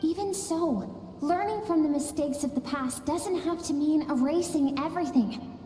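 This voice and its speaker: An earnest young girl in a game cutscene, speaking softly and pleadingly in a high, mellow voice. Her unhurried words ring through an echoey, reverberant space with a long tail. Soft music plays underneath. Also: slight background noise. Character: earnest gentle young girl, inner self. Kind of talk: video game cutscene dialogue.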